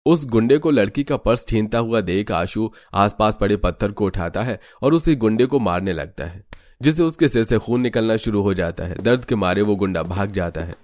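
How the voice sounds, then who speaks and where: A man's voice: fairly low pitch, lively intonation, normal speed, a little mellow, no echo. A man narrating a scripted cartoon story.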